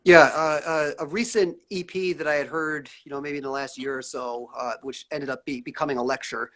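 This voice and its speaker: A man's voice: fairly high pitch, fairly even intonation, a little fast, fairly bright tone. Rambling man host, full of fillers. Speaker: interview host. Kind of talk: casual interview over live stream.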